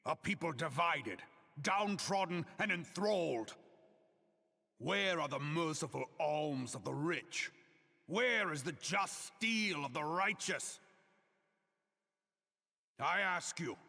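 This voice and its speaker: An actor playing a fierce, proud general who gives slow, solemn, declamatory speeches to rally a nation in a video game. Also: male voice, fairly high pitch, vocal fry, bright, forceful tone, heavily acted. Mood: indignant. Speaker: military leader giving a rallying speech. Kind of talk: theatrical oratory. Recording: long reverberant hall echo.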